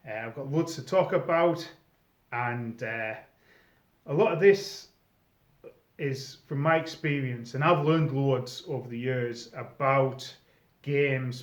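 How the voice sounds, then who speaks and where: A British man hosts an informal advice video, talking in a chatty, explanatory way. He checks in with his listener as he goes. The sound is echoey and a little dull, as if recorded in a bare room. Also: mid-range voice. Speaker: video host, experienced live role-player. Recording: roomy echo, slightly dull sound.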